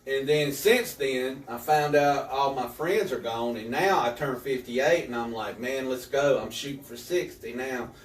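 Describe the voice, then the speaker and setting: A man's voice: mid-range voice, a little slow, few pauses, a little hiss or hum. Earnest man in his fifties, echoey room. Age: 50s. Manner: earnest, repeats words often. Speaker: author. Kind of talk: casual interview. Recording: echoey room, distant mic.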